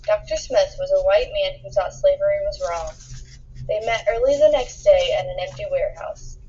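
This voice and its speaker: A woman reading a children's picture book aloud in an expressive storytelling style, acting out the dialogue with emphasis. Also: mid-range voice, moderate pace, slightly rough, highs cut off. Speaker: storybook reader.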